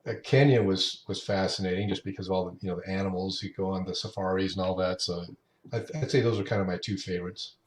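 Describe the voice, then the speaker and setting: A man in his late fifties chatting as a podcast guest, talking unhurriedly with halting restarts. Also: low-pitched, steady tone, slight background noise. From Utah. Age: 50s. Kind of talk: casual interview chat. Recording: roomy echo.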